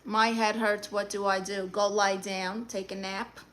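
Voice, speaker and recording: Sassy bright New woman streamer. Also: medium pitch, level tone. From Brooklyn, New York. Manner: sassy, playful, quick comebacks. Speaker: livestreamer. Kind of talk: casual live-stream chat, reading out viewer comments.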